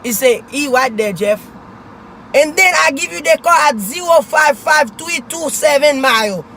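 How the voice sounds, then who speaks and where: A man's voice: extremely high pitch, expressive, normal speed, a little hiss or hum, heavily acted. A man playing a strict, nagging immigrant father who keeps repeating his son's name. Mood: scolding. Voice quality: heavy accent, repeats son's name constantly. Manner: nagging, exasperated, loud bursts. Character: strict immigrant father, middle-aged, scolding. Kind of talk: comedy skit.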